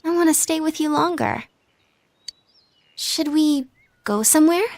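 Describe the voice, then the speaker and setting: Teenage idol girl, high voice, American accent, cutesy, coy, confident, playful anime game style. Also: unhurried, darkish tone. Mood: affectionate, teasing. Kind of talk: anime video game dub acting.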